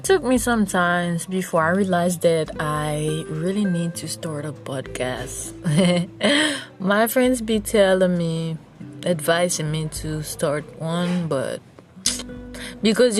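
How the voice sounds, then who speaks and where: A woman's voice: fairly low pitch, expressive, slow pace, music in the background. A young woman from Haiti with a Haitian accent, whose first language is Creole, talking casually and rambling on her own podcast, often giggling. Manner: chatty, rambling, with frequent giggles. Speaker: podcast host. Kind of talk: casual solo podcast monologue.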